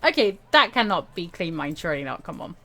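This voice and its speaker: A woman's voice: fairly low pitch, sing-song, fairly quick, sharp tone. A woman reading a visual novel aloud and adding playful, giggly asides of her own. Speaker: let's play host.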